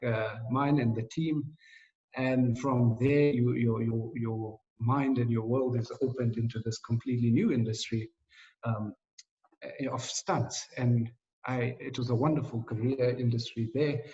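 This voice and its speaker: Soft-spoken man, distant lo-fi sound. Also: mid-range voice. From South Africa. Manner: thoughtful, many fillers, laughs lightly. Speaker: interview guest, financial coach. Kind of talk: reflective interview answers. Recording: distant, dull lo-fi audio.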